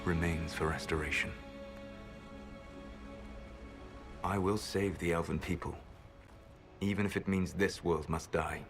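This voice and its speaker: A deep, grave ancient elf mage. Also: male voice, steady tone. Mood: resolute. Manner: measured, grave, quietly regretful. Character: ancient male elf mage, calm, secretive, proud. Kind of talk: video game voice acting. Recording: game audio with music score.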